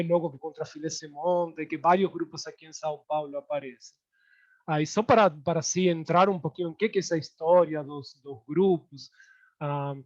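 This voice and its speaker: A man from Argentina with an Argentine Spanish accent, speaking as a guest artist in a relaxed, digressive way with many hesitations. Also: on the high side, muffled recording. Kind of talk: online panel talk.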